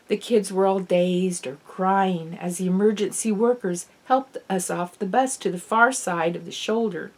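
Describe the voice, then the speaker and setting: A woman reading expressive scripted narration from a novel as an audiobook. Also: medium pitch. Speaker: author reading own novel.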